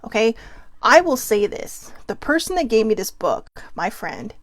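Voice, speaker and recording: A woman's voice: a little high, sing-song, a little slow, no echo. A woman from California hosting her own show, talking through her thoughts in an earnest, chatty monologue full of ums and asides. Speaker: podcast host. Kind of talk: casual monologue.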